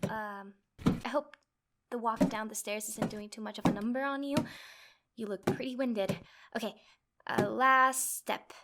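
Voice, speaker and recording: A woman playing a nervous, lovestruck and clingy yandere girlfriend in a comedic roleplay, halting and rambling as she talks. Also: a little high, fairly even intonation, heavy background noise, close to an everyday voice. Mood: nervous, caring.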